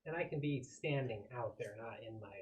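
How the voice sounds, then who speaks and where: A man's voice: medium pitch, normal speed, darkish tone, a little hiss or hum, poor audio. A man playing a tabletop roleplaying game, talking casually and asking questions as a player.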